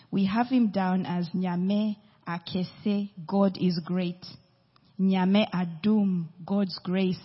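A woman from Uganda preaches at an unhurried pace, her voice soft and breathy. She dwells deliberately on each word as she teaches. The sound is dull and carries a long church-hall reverb. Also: medium pitch. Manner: slow, deliberate, lingering over names. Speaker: church speaker. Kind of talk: sermon teaching on prayer.

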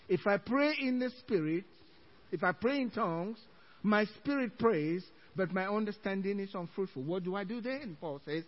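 A middle-aged Nigerian pastor with a Nigerian accent, preaching an evening sermon with emphatic scripture quotes and chuckling asides to his congregation. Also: high voice. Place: church sanctuary. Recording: dull lo-fi church recording.

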